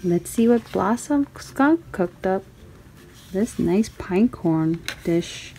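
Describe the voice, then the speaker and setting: A woman storytime host reading a picture book aloud for children, with expressive, sing-song questions and exclamations. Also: medium pitch, slow pace, slight background noise, poor audio.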